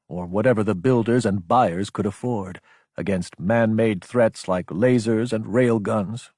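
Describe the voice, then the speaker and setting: A male audiobook narrator reads dramatic fiction. His voice sits at a middle pitch with a husky edge, and the recording is very dry, like a small treated studio. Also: darkish tone, no room sound.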